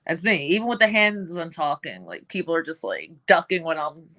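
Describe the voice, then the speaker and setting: Bright-voiced brash New York woman. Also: deep voice, sing-song, fairly quick. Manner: laughs often, brash and playful. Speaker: professional wrestler guest. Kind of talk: casual podcast interview.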